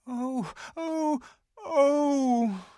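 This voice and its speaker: An eager young girl from a storybook cries out in a high, breathless voice, as a man reading aloud takes her part. Her voice leaps far above a plain speaking voice. The sound is dry and close. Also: lively intonation, takes it slowly, vocal fry, no room sound, exaggerated voice. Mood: grieving.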